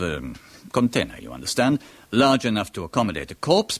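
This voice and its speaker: An actor playing a grimly precise pathologist, explaining formally and deliberately in a radio horror drama. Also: male voice, mid-range voice. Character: French male pathologist, formal, grimly precise, self-satisfied.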